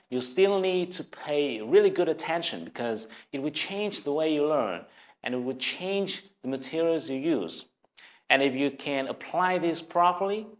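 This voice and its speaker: A man with a Vietnamese accent, a native Vietnamese speaker, giving an earnest, encouraging English lesson straight to the viewer. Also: fairly high pitch. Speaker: language teacher. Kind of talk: scripted video lesson.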